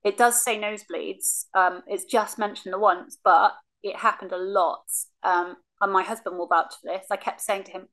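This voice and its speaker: A woman's voice: medium pitch, fairly even intonation, normal speed, fairly bright tone, low-quality recording. Woman frankly recounting her illness. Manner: frank, many ums, acts out remembered lines. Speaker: cancer patient telling her story. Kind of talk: casual recounting, remote interview. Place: living room, seated on a sofa. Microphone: video call webcam.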